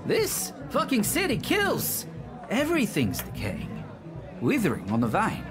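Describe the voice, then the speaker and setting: A man's voice: high voice, huge pitch swings, measured pace, music in the background, crowd noise, exaggerated voice. An actor playing a grim, jittery underworld man, dramatic and bitter, in a noir video game. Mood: bitter, despairing. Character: grim, jittery male underworld friend, bitter about the city.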